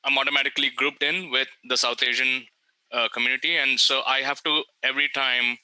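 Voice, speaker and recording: A man with a very bright, crisp, smooth voice, speaking as a panelist on a video call. He is reflective and pauses as he searches for words. The sound is dry and close. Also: mid-range voice, little pitch movement, no echo, low-quality recording. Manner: reflective, hesitant.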